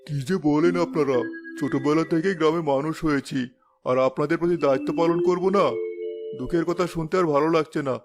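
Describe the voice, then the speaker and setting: Man as a fast-talking, principled village schoolmaster. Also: a little high, fairly even intonation, lightly acted. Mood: reassuring. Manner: earnest, resolute. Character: principled, loyal, caring male village schoolmaster. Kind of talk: animated moral story voice acting. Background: background music.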